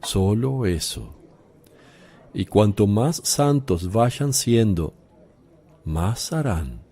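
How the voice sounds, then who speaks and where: A man playing Jesus in a religious radio drama, a calm, solemn teacher who speaks in a measured, firm way. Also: on the low side, slightly rough, exaggerated voice.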